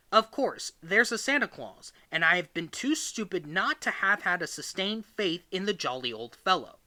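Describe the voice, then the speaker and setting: Male narrator for non-fiction read-aloud: crisp bright tone, swooping melodic delivery, expressive emphasis. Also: sits high. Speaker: reader of a book excerpt. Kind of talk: scripted read-aloud narration of a magazine-style history.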